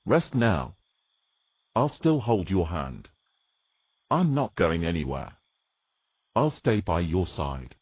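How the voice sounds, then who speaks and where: An actor playing a tender, protective man with a husky voice and a gentle, coaxing manner in an intimate fantasy romance. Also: deep voice, very animated, slow, long pauses, muffled recording, heavily acted. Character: tender, protective human man soothing a mermaid.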